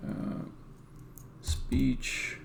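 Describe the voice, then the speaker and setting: A game developer live-streaming code, thinking aloud casually in halting, muttered fragments. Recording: roomy echo, faint background noise. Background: computer keyboard typing and clicking.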